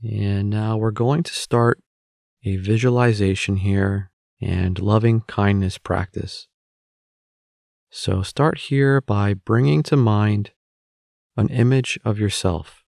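A man leading a guided meditation as an instructor, speaking in measured short phrases with pauses between them. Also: lowish voice, fairly even intonation, vocal fry, a little mellow, dry recording, clean studio sound.

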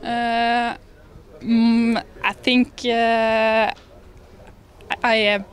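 This is a young Norwegian woman with a fairly high voice and a Norwegian accent. She answers questions casually, feeling her way through with hesitations. Her manner is good-humoured, like an athlete chatting to a reporter. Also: level tone, drawn-out words, clean tone. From Norway. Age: young adult. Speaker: ski jumper being interviewed. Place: large indoor lobby. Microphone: handheld reporter microphone.

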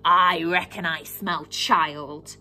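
A woman voicing a huge, menacing male giant in a children's story, booming and drawn-out. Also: steady tone, sharp tone, soft background music, exaggerated voice. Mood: roaring.

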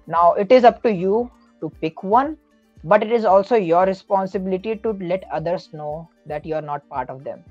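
A man's voice: sits high, moderate pace, no room sound, soft background music. Earnest man giving advice, dry muffled sound. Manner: earnest, explanatory, frequent self-corrections. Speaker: guest. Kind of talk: casual podcast advice.

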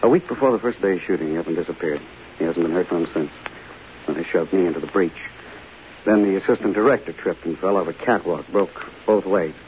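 Man acting a smooth low-voiced hollywood flirt, 1950s radio play, bit of hiss. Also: brisk, close to an everyday voice. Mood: confiding. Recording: hissy, muffled 1950 radio broadcast.

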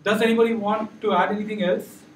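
Middle-aged male trainer, loud and upbeat, unmiked, far camera pickup with faint room noise. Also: high-pitched, little pitch movement, fast, reverberant. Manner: loud, encouraging, asks the room questions. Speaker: workshop trainer. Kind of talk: interactive training session. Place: large meeting room with projector. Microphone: far camera, no mic.

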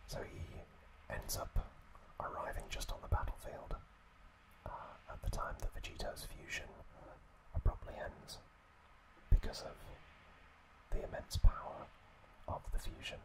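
A man whispering an ASMR story recap in a hushed, unhurried, hesitant way as the host. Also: rough recording.

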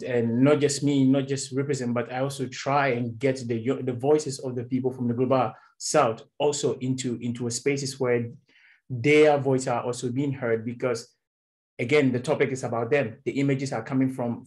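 A young man from Ghana, a youth worker, giving an earnest, halting panel answer over a video call in a small room. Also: mid-range voice, darkish tone. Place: small indoor room with door.

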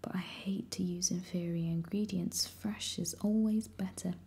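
A woman's voice: lowish voice, fairly even intonation, normal speed, dark tone, breathy edge. A woman reading aloud and voicing a cocky, scheming teenage boy who raises the dead, all in a whisper. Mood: teasing. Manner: whispered, sly and teasing.

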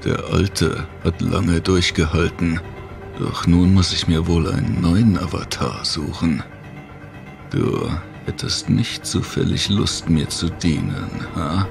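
A man voicing a sinister, mocking giant ghost monster with a gravelly growl in a horror audio drama. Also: deep voice, over music, heavily acted. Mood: sinister taunting. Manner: slow, menacing, taunting.

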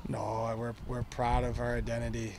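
A man in his thirties, a soccer coach, answering postgame questions outdoors at night with earnest, halting talk. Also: mid-range voice, completely flat delivery. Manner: earnest, halting, many fillers and restarts. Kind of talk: postgame interview. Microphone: handheld microphones held close.

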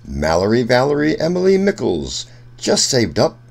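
A man reading a rhyming children's picture book aloud in an expressive, sing-song storyteller's way. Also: medium pitch, normal speed, creaky. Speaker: children's story reader, host.

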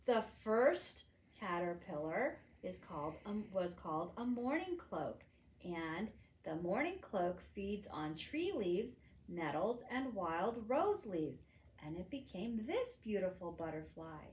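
A middle-aged woman reads a picture book aloud to preschoolers in an expressive, teacherly manner, adding simple asides. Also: mid-range voice, slow pace. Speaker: librarian storytime reader. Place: library room among bookshelves, indoors. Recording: roomy indoor echo.

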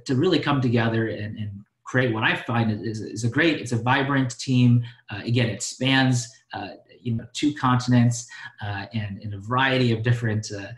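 A man giving a conversational webinar talk as a guest expert, somewhat halting as he searches for words. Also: mid-range voice. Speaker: guest expert, doctor and company co-founder. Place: indoors on a video call. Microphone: video call microphone. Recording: roomy, echoing video-call audio.

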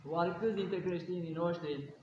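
A middle-aged Orthodox priest with a Romanian accent delivering an earnest sermon full of rhetorical questions, in a large church, recorded by a camera a few steps away. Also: male voice, high voice, a little hiss or hum. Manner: exhorting, rhetorical questions, earnest preaching cadence. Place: large ornate church, indoors. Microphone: no mic, camera a few steps away. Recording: very echoey church, dull sound.

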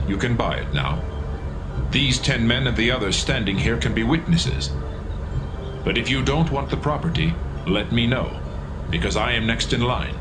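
An actor playing an honourable, decisive landowner with an earnest manner in a dramatised Bible reading. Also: male voice, mid-range voice, lightly acted. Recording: music behind the voice.

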